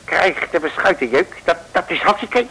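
Cheeky detective, gravelly n highish voice, slangy, old radio play. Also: male voice, expressive, moderate pace, bright, forceful tone, exaggerated voice, poor audio. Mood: bossy, indignant. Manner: slangy, breezy, wisecracking. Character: cheeky, cocky streetwise Dutch private detective. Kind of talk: 1950s radio serial acting. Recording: old 1950s radio recording.

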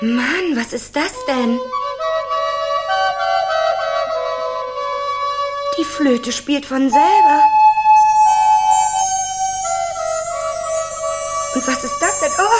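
Teen princess for radio drama: high, girlish, stubborn and reluctant, with prominent music behind. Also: expressive, unhurried. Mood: amazed. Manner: reluctant, defiant. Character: sulky, stubborn fifteen-year-old princess girl. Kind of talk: German children's radio play acting.

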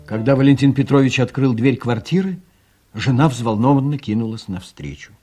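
A man narrating an audio play from a script in an expressive storytelling manner. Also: mid-range voice, moderate pace, husky, faint music behind.

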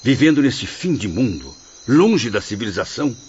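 A man's voice: mid-range voice, very animated, normal speed, a little mellow, natural voice. An actor playing a proud, anguished, learned male spirit, formal and brooding, in a radio drama. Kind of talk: Portuguese-language radio drama acting. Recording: muffled lo-fi audio, night insects, noise.

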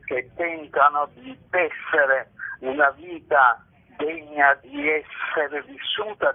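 An older man answering reflectively in a radio interview, halting and repeating phrases for emphasis. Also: on the high side, creaky, breathy edge. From Italy. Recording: tinny band-limited phone line.

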